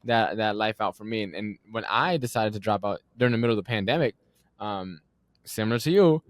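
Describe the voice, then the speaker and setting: A young adult man hosting a podcast, chatting in a relaxed, conversational way with casual slang. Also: fairly low pitch, brisk, no room sound, clean studio sound. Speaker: podcast host. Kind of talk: casual interview chat.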